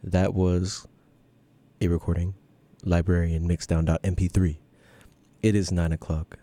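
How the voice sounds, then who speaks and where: A man with a very deep, very dark voice makes a slow, loose station announcement as a radio DJ. He drawls through the call-in details. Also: little pitch movement, no room sound.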